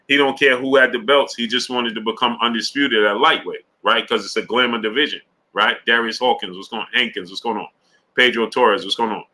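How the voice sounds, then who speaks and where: A man with a bright, forceful tone hosts a casual boxing live stream. He greets chat viewers by name and works his points out loud, stumbling through fillers and restarts. He keeps checking in with his audience as he goes. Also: medium pitch, low-quality recording. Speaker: sports show host.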